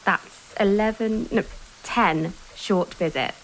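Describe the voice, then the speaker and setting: An actress playing a brisk, practical home carer in a naturalistic audio drama. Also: female voice, mid-range voice, rough recording. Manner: matter-of-fact, brisk.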